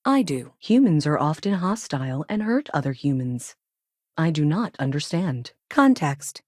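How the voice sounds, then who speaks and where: Game role: self-aware probe AI. Low female voice, very dry sound, robotic and literal, clipped labelled statements, earnest and logical. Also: big pitch swings, unhurried, darkish tone, dry recording, heavily acted. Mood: detached, logical. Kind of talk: scripted video game dialogue.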